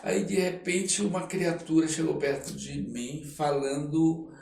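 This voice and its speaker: A man's voice: on the high side, steady tone, unhurried, gravelly, a little mellow. Older Brazilian man in a roomy recording. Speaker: interview guest, artist. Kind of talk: conversational storytelling. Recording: roomy, distant mic, faint noise.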